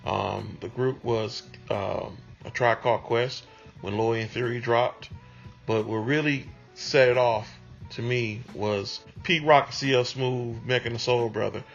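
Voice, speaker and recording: A man speaks in a mid-range voice, recalling things in a relaxed interview manner with plenty of ums and pauses. The recording sounds a little muffled, with soft music playing underneath. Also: mid-range voice, gravelly. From Detroit, USA. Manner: unhurried, conversational, with many ums. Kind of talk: reflective interview answers.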